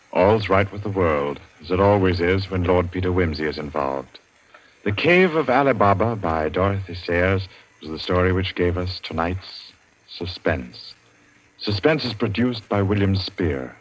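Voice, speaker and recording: Deep-voiced vintage radio announcer man. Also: animated. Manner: measured, formal. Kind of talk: 1940s radio scripted announcing. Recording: muffled vintage radio recording.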